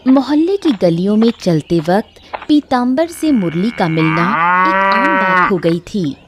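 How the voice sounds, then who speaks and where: Expressive, unhurried woman storyteller. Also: mid-range voice, a little hiss or hum. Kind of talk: scripted moral-story narration.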